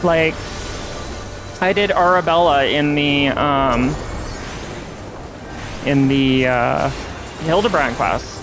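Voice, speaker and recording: A game streamer with a distinctive nasal voice talks casually during play. The pitch sits in the middle, and music plays clearly behind the chat. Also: takes it slowly, long pauses. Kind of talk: casual live stream chat while playing.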